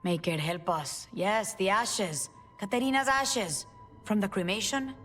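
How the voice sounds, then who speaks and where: A woman's voice: mid-range voice, a little slow, fairly bright tone, soft background music, close to an everyday voice. A woman voicing a wry, commanding, motherly assassin matriarch in a video game. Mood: brisk, exasperated.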